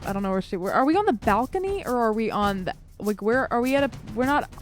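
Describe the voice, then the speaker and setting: High-voiced playful woman over background music. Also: animated, fast. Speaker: player in an actual-play tabletop game podcast. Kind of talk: casual, playful game-table chat.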